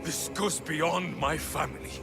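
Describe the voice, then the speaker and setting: Casting: heroic young male mercenary, accusing and intense, slightly high register, game music behind. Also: steady tone, unhurried, vocal fry. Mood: accusing anger. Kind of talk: dramatic video game acting.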